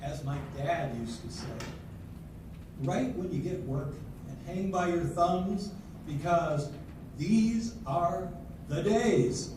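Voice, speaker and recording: A man's voice: high voice, takes it slowly. Older man giving a rambling stage welcome. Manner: genial, rambling with many fillers. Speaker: ensemble member introducing the show. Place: senior center hall. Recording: echoey hall, distant mic, noise.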